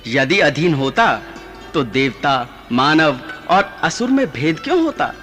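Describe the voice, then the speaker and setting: An actor playing a solemn, patient male god who instructs with authority in a measured, declamatory radio drama style. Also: on the high side, big pitch swings, pushed and bright, heavily acted. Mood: grave. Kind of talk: mythological radio drama acting. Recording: studio radio play with music behind.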